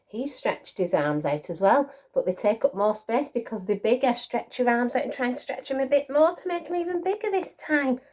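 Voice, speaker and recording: A woman's voice: fairly high pitch, animated, normal speed, few pauses, darkish tone. A woman reading a picture book to young children, with encouraging asides and questions to her listeners. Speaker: children's storyteller.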